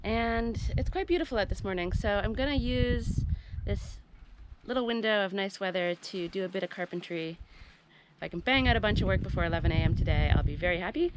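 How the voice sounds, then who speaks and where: A woman's voice: medium pitch, lively intonation, brisk, background noise. A woman with a stuffy nose from a head cold, chatting casually and self-deprecatingly as she works on her tiny house. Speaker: DIY vlogger. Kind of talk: casual vlog narration while working.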